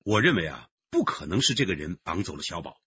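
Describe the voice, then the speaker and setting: An actor playing an opinionated, argumentative detective with a husky voice in a radio drama. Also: male voice, low-pitched, very animated, quick pace, a bit bright, dry recording, highs cut off, heavily acted. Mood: assertive.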